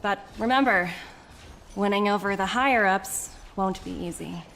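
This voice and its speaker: An actress playing a shrewd, self-assured young corporate envoy in a video game, polished and articulate. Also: female voice, mid-range voice, moderate pace, creaky, a bit bright, a little hiss or hum, poor audio. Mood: conciliatory. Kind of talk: scripted video game voice acting. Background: game music.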